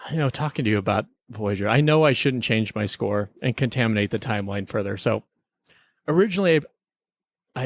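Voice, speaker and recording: A male podcast host talks casually in a dry, close recording. His delivery is wry and mock-earnest, sliding between relaxed review chat and playful skit. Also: medium pitch, no echo.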